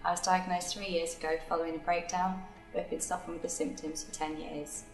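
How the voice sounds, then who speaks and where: Brief: woman, 20s, English, flat low-ish delivery, roomy recording. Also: fast talker, soft background music. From England. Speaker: employee sharing her story. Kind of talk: scripted testimonial.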